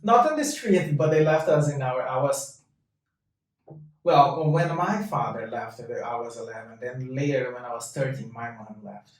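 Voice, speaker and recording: A man in his late thirties from southern Brazil, Portuguese his first language, answering interview questions in short, halting replies. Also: medium pitch. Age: 30s. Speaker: guest. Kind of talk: casual interview talk. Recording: echoey room, distant mic.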